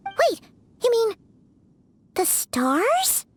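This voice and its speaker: A woman voicing a small, excitable, jumpy fairy-like girl companion in a video game. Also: extremely high pitch, very slow, a little hiss or hum, heavily acted. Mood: sheepish, curious. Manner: refers to self in third person, exclaiming.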